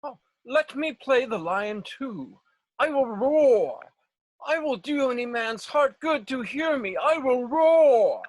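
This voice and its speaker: A woman's voice: high voice, animated, takes it slowly, darkish tone, no room sound, full character voice, poor audio. Bombastic amateur-actor weaver, played by a woman, dry close sound. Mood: showing off. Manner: theatrical, declaiming, self-important. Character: Nick Bottom, a bombastic, overeager male weaver and amateur actor. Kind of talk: Shakespeare table read over video call. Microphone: video call, close.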